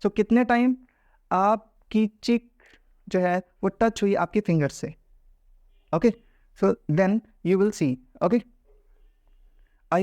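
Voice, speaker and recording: A man's voice: sits high, a little mellow, no room sound, studio quality. A man with an Indian accent teaching English pronunciation, talking emphatically, asking rhetorical questions and switching between Hindi and English. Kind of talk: classroom lesson mixing Hindi and English.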